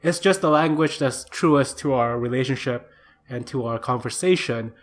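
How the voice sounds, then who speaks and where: A man's voice: medium pitch, moderate pace. A man from Indonesia co-hosting and editing a casual podcast, reflective and self-deprecating, and he laughs often. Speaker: podcast co-host and editor. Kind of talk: casual conversational podcast chat.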